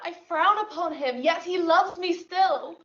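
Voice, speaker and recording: Very high-voiced devoted young lover. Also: female voice, fairly bright tone, distant mic, muffled recording. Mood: earnest. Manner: theatrical, measured verse delivery. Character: earnest, devoted young woman in love, Shakespearean. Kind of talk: Shakespearean audio drama acting.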